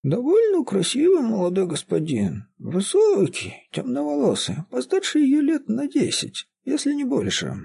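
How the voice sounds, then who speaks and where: Male reader as old female caretaker for an audiobook, high and creaky, plaintive, whining, slow to let words go. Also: huge pitch swings, mellow, heavily acted. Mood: plaintive, matter-of-fact. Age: elderly. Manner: whining, tearful, drawn-out gossiping. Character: plaintive half-deaf old woman caretaker. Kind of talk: audiobook character voice.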